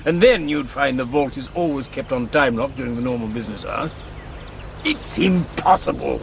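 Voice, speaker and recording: An actor playing a courteous, sceptical older colonel turned crook in a radio drama, with a gentlemanly, questioning manner. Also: male voice, mid-range voice, moderate pace, vocal fry, a little hiss or hum, natural voice. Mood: sceptical, exasperated.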